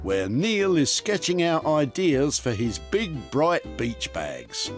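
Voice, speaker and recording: Animated, wry male narrator over music. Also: fairly high pitch, slow pace. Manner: wry, teasing, playful. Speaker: narrator of a TV upcycling show.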